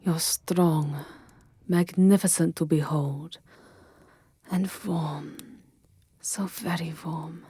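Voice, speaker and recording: A woman's voice: sits low, steady tone, warm tone, heavily acted. A woman playing an ancient, possessive vampire lover in an intimate ASMR roleplay, haughty and often whispering. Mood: tender, seductive. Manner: slow, breathy, haughty, often dropping to a whisper.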